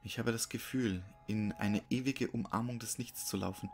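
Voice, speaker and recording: A man's voice: fairly low pitch, steady tone, normal speed, slight background noise. A man narrating a scripted horror story. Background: music.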